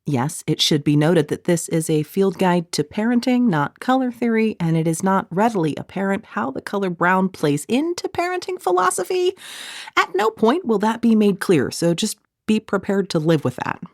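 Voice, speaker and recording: A middle-aged woman reading her own audiobook in a conversational, snarky way, with playful deadpan asides. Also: medium pitch, moderate pace, no room sound, clean studio sound. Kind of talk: scripted audiobook narration, conversational.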